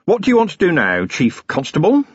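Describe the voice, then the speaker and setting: A man's voice: on the high side, wildly swooping pitch, brisk, breathy edge, no echo, highs cut off, pitched above the performer's own voice, full character voice. A man voicing a nervous, eager young village policeman who speaks respectfully and hesitantly in an audiobook story. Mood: hesitant, deferential.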